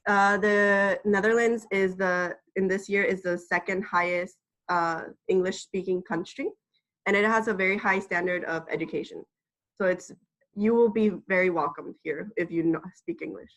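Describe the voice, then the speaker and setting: Woman, 18, from the Dominican Republic, student presenter, steady explanatory tone, mid-range voice. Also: mid-range voice, normal speed, low-quality recording. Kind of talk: webinar presentation.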